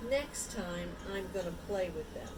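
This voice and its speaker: A timid male pet dog from a picture book, played by a woman reader, talks slowly and simply. He is nervous and childlike, with noise behind the voice. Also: fairly low pitch, expressive. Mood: timid, wistful.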